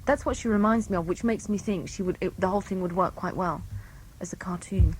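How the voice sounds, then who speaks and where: A woman's voice: fairly low pitch, brisk, a little mellow, a little hiss or hum, rough recording. A woman chatting casually as an interviewed actor, with a dry, playful way of talking.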